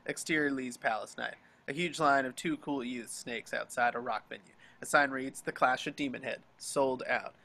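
A man's voice: mid-range voice, fairly even intonation, normal speed, creaky, hardly any pauses, pushed and bright. A man narrating scripted action and scene headings for an amateur table read. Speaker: stage-direction reader. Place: home, group video call.

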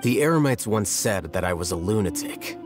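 An actor playing an aloof, self-assured young scholar in a video game, with a dry, smug delivery. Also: male voice, on the low side, animated, a little fast, music in the background, close to an everyday voice. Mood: wry.

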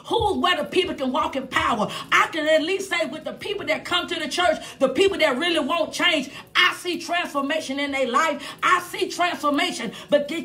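A woman's voice: high voice, a bit bright. An American woman preaching a fiery sermon in an emphatic, confrontational manner, repeating phrases and firing questions at her listeners.

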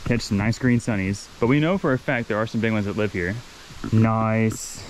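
A man hosting a fishing vlog outdoors by a waterfall creek, chatty and excited, laughing often as he talks from a head-mounted camera. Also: lowish voice, moderate pace, a little hiss or hum, low-quality recording. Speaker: fishing channel host. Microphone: head-mounted camera mic.

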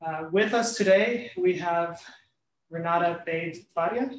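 A woman's voice: fairly low pitch, a little slow. A woman hosting a campus talk show, welcoming the audience with lots of fillers. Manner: many "uh" fillers, loose. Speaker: event host. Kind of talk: welcoming introduction. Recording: echoey classroom, faint noise.

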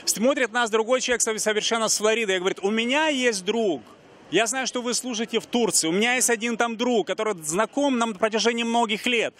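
Earnest guy in his 40s hosting a street interview, highish voice, handheld mic outside. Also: quick pace, a bit bright, a little hiss or hum. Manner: earnest, talks with many fillers and restarts. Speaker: TV host. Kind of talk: street interview, ministry talk. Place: busy pedestrian street outdoors.

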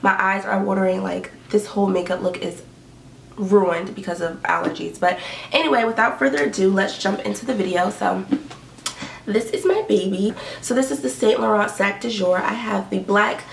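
A young woman talks to her camera from her bedroom, upbeat and rambling with plenty of ums. Her voice has a gravelly fry and sounds stuffy from allergies. The small room echoes noticeably, and the mic sits a bit away from her. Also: medium pitch, moderate pace, a little hiss or hum. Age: young adult. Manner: chatty, upbeat, lots of likes and ums. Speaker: beauty and fashion vlogger. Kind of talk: casual what's-in-my-bag chat. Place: bedroom indoors. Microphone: camera in front at desk distance. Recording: roomy bedroom echo, mic a bit far.

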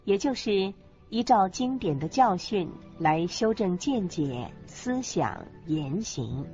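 Slow, expressive female narrator. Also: medium pitch. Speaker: co-narrator of a Buddhist teaching radio program. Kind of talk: scripted didactic narration in a radio program. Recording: muffled lo-fi audio with music underneath. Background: background music.

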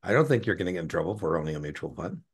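A middle-aged American man with a gravelly voice tutoring one-on-one over a video call, informal, encouraging and given to tangents. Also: low voice, sing-song, racing. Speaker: exam tutor. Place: indoor room on a video call.

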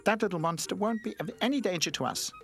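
A man's voice: high voice, fast, creaky, a bit bright, soft background music, pitched above the performer's own voice. A man voicing an eager, bossy toy game gadget.

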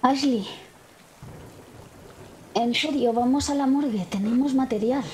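A woman's voice: high voice, measured pace, darkish tone, a little hiss or hum. A woman with a Spain accent improvising conversational in-character dialogue as a player in a tabletop role-playing game.

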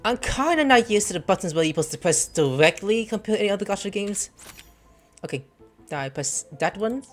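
A man's voice: high-pitched, animated, brisk. A gaming streamer giving crude, playful commentary while he plays at home, often jumping into shrieky joking voices. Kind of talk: casual gameplay commentary. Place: home room with webcam.

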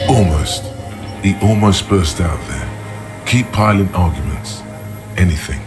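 An actor voicing the brooding inner voice of a detective's mind, narrating a video game in an ominous, deliberate way. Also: male voice, low voice, lively intonation, over music. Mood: intent. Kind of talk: scripted second-person game narration.

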